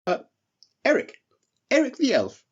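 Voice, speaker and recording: A man's voice: very high-pitched, wildly swooping pitch, unhurried, breathy edge, muffled recording, voice pushed up, heavily acted. A man voicing a small, polite, slightly timid male elf in a children's storybook, with a hesitant manner. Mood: shy.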